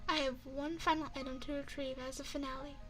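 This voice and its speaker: Woman doing a high pitched vampire lady chef, posh n formal, seductive, asmr roleplay up close, faint music behind. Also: flat delivery, quick pace, a bit bright. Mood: gracious, poised. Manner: formal, refined, poised, teasing. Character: elegant vampire woman chef, courtly, seductive, quietly predatory. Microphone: close binaural microphone.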